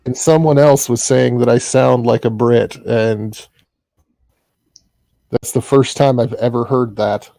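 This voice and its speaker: A man's voice: mid-range voice, fairly even intonation, vocal fry, mellow, dry recording. A man with an Iowa accent, an interview guest chatting casually, with frequent restarts. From Iowa, US Midwest. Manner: halting, restarts often. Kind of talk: casual chat.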